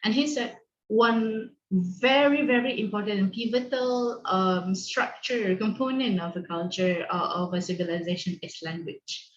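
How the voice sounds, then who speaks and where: A woman's voice: mid-range voice, measured pace, roomy sound, dull audio. Reflective Malaysian woman hosting a call. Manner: reflective, hesitant with many fillers. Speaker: host. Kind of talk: online panel discussion. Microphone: video call.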